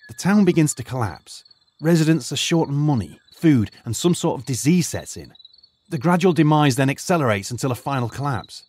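Older professor-teacher type, lively rise and fall, calm explaining tone, played by a man for an audio drama. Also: mid-range voice, fairly quick, natural voice. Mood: measured. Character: retired archaeologist turned high school teacher, lecturing and cautious.

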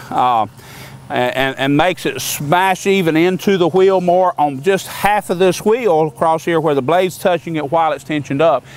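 A man's voice: on the high side, clear, resonant tone. Older man explaining sawmill blades outdoors. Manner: plain-spoken, folksy, frequent uh fillers and restarts. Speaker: sawmill company owner explaining equipment. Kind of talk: unscripted product explainer. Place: outdoors on a lawn beside a sawmill.

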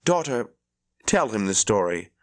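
A man's voice: fairly low pitch, very animated, measured pace. A man voicing a stern, powerful older woman leader who speaks firmly. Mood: commanding. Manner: firm, commanding.

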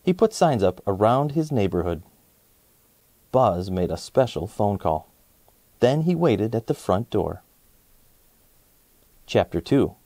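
A man in his thirties narrates a children's storybook with lively, swooping intonation and a mellow tone. He takes his time, in a dry, close-sounding room. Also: fairly low pitch, slow, long pauses, no room sound. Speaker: host of a children's story channel. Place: small indoor set, dry sound.

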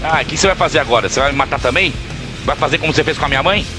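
A man's voice: on the high side, racing, music in the background, natural voice. An actor playing a young man, a defiant and distraught son in an audio drama, pleading and accusing.

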